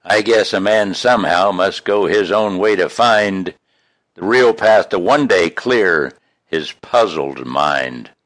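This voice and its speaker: A man reading his own poems in an expressive, folksy, homespun way, with casual introductions between them. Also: low voice, takes it slowly, no room sound. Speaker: poet reading own work.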